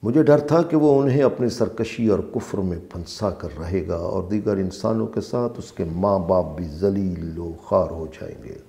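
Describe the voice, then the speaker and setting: A man reading a religious translation aloud as scripted narration, in a measured, deliberate way with emphasis on phrases. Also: deep voice, gravelly, mellow. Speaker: translator and reciter of a Quran translation.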